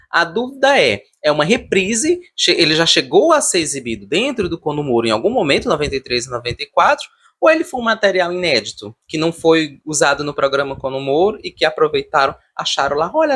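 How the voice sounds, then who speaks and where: Man, Brazilian accent, slightly high voice, husky edge, casual reviewer, halting then emphatic. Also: fairly bright tone. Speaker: video reviewer and host. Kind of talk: casual, unscripted review commentary.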